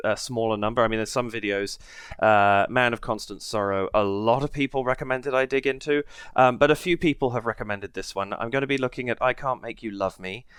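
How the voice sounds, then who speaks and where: A man's voice: lowish voice, smooth, dry recording. Stuffy-nosed man hosting a reaction video. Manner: chatty, many ums and uhs, warm thanks to viewers. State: stuffed up with a head cold. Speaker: reaction channel host. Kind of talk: casual video commentary.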